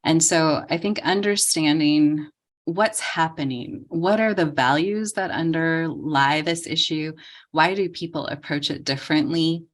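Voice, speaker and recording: Low-voiced woman giving a tutor training talk. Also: a little slow. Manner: calm, explanatory, frequent ums. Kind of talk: training lecture.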